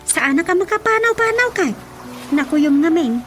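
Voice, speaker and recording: A talkative village woman with an Ilocano accent. Her voice sits extremely high, and the delivery is that of a radio comedy drama, with faint music underneath. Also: animated, normal speed, a bit bright. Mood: chatty.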